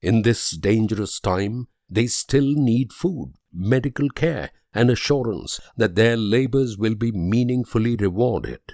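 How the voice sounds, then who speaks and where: An actor playing an idealistic, world-weary diplomat, delivering grave, deliberate speeches and letters in a strategy game. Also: male voice, fairly low pitch, a little mellow, no room sound, studio quality. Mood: solemn appeal.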